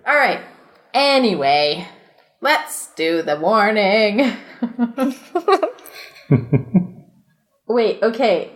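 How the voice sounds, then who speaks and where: A woman's voice: medium pitch, sing-song, extremely slow, long pauses. A woman hosting a comedy podcast, mixing casual chat and reading aloud with giggly, self-deprecating asides.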